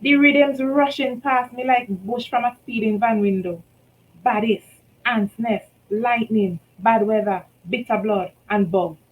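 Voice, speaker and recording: A Vincentian woman reading her own short story aloud, telling it in rhythmic Creole with lively shifts in loudness. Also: on the high side, measured pace, narrow, tinny audio. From St. Vincent and the Grenadines.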